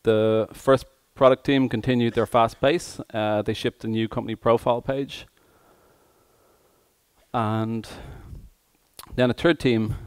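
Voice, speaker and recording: A man giving a tech conference presentation as an engineer, speaking hesitantly with frequent pauses mid-phrase. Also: on the low side, gravelly, clean tone, no echo.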